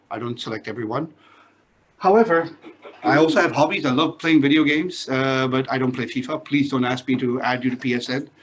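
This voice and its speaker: A middle-aged male lecturer with a Singaporean English accent and a fairly high voice speaks to a classroom. He talks in a chatty way, with joking asides, and keeps checking in with his students. A faint hum of room noise sits under his voice. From Pakistan, grew up in Singapore. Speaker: business lecturer. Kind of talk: classroom lecture, self-introduction.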